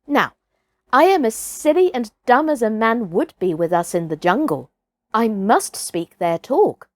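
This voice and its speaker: A woman acts out a boy raised by wolves in a storybook. He is proud, thoughtful and loyal, speaking solemnly in archaic words with a raised, youthful pitch. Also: sing-song, measured pace, no room sound. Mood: musing.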